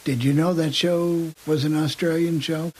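A man talks casually on a radio panel. His voice runs a bit high for a man and has a husky, breathy edge. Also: fairly even intonation, moderate pace, darkish tone. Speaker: radio talk show panelist. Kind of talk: casual chat.

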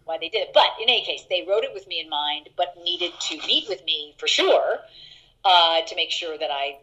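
A middle-aged actress telling stories in an interview, chatty and laughing, with many fillers and restarts. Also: female voice, lively intonation, moderate pace, sharp tone, muffled recording. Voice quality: deeper voice. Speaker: actress guest. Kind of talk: casual interview anecdotes.

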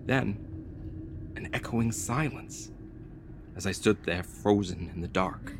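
An actor playing a frightened ex-military delivery courier, telling a horror story in a theatrical, deliberate, suspenseful way. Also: male voice, lowish voice, very noisy. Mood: hushed dread. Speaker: narrating lead actor. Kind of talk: dramatic first-person horror audio play narration.

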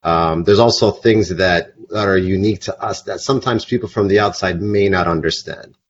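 Low-voiced podcast host asking questions. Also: male voice, moderate pace, husky, dull audio. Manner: upbeat, leads the talk with questions. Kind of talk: casual podcast chat.

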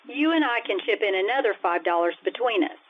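A woman's voice: medium pitch, expressive, brisk, close to an everyday voice. A woman playing a wry, wisecracking schoolteacher with a dry, sardonic delivery in an old-time radio sitcom. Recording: tinny, band-limited radio-style recording.

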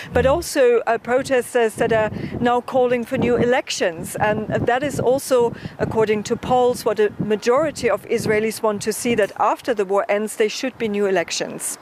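A woman news correspondent giving a live report, speaking haltingly with frequent hesitations and repeated words. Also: fairly high pitch, noisy background.

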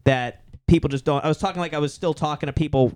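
A young comedian with a thick Boston accent talks quickly in loose, profane podcast banter. He keeps restarting his sentences, and his voice comes through smooth and clear. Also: on the high side, a little mellow. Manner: fast-talking, swearing, rambling with restarts. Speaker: stand-up comedian, podcast guest. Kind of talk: casual podcast chat.

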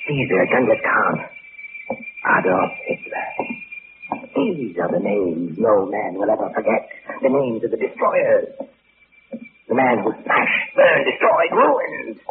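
A man's voice: medium pitch, gravelly, full character voice. An actor playing a little gray-haired older man obsessed with destruction, musing grandiosely in theatrical 1940s radio style. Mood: rapturous, fanatical. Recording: scratchy, tinny 1940s radio recording.

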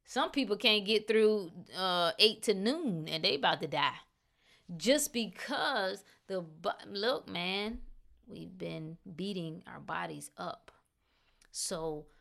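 A woman's voice: on the low side, vocal fry, a bit bright. Emphatic, chatty woman vlogger. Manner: emphatic, repeats phrases, chatty asides. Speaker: health and detox vlogger. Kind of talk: casual talk to camera.

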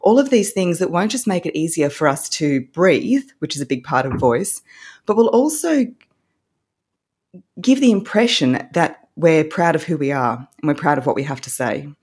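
A woman with an Australian accent, a voice coach explaining confidence tips in a relaxed interview, laughing often as she talks. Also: deep voice, normal speed, breathy edge. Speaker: voice coach guest. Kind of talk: conversational interview.

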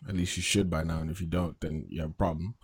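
A man's voice: low-pitched, fairly even intonation, fast talker, breathy edge, no room sound, studio quality. A young man hosting a casual podcast rant show, hyping the audience and joking with his co-hosts. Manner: hype opener, then loose joking chat with laughs. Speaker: host.

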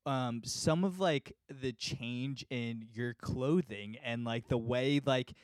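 A man's voice: medium pitch, slow pace, no echo, clean studio sound. A young man hosting a casual interview asks long, meandering questions packed with "like.". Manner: rambling questions, filled with "like".

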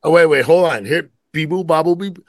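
A man's voice: fairly high pitch, fairly quick, no room sound, clean studio sound. A man hosting a podcast in casual, joking chat. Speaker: podcast host.

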